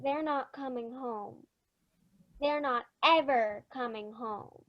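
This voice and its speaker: A woman's voice: high voice, takes it slowly, highs cut off. A female performer playing a polite, earnest little girl in an old-time radio play. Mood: solemn. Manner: polite, careful, childlike.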